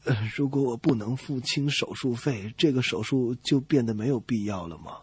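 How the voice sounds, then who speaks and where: A man voicing a worried, literal-minded patient fretting over money, slow and halting, in a radio comedy sketch. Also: medium pitch, noisy background, close to an everyday voice.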